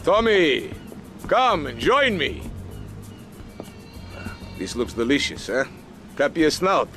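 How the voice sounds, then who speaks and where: An actor playing a courteous, theatrical Latin American colonel in a video game, speaking formal, florid English with a Spanish accent. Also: male voice, a little high, big pitch swings, slow pace, pushed and bright, full character voice. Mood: smooth, inviting. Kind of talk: video game cutscene acting. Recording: music behind the voice.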